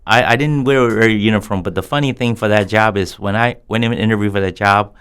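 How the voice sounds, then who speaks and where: A man's voice: fairly low pitch, quick pace, a bit bright, smooth. A man in his fifties from Texas with a Texas accent, a guest telling earnest stories from his past in a casual interview. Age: 50s. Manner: reminiscing, earnest storytelling.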